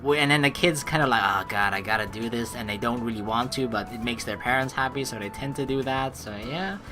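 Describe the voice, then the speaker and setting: A woman's voice: a bass voice, fairly quick, music in the background. A young adult woman giving casual let's play commentary in a rambling, conversational way. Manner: rambling, conversational, peppered with "you know". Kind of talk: casual game commentary mixed with reading dialogue aloud.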